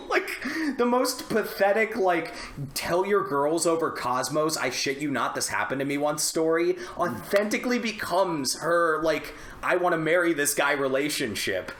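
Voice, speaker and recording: A man's voice: on the high side, animated, measured pace, a bit bright, slight background noise. A man chatting casually on a podcast, joking and rambling with plenty of swearing. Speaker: podcast host discussing films. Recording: roomy, echoey room.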